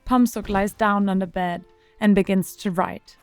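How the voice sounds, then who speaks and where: A woman's voice: medium pitch, fairly even intonation, unhurried, smooth, no room sound, soft background music. A woman narrating an audio drama from a script as the storyteller.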